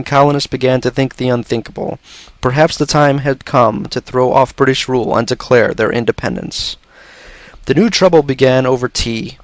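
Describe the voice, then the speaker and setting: A man reads a scripted educational narration in a measured, expository way. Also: medium pitch, rough recording.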